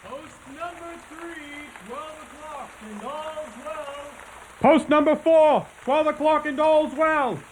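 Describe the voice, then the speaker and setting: An actor playing a night sentry in a radio drama, calling the watch in long, singsong phrases. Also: male voice, very high voice. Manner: long drawn-out, singsong calls. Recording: distant, echoing, noisy rain ambience.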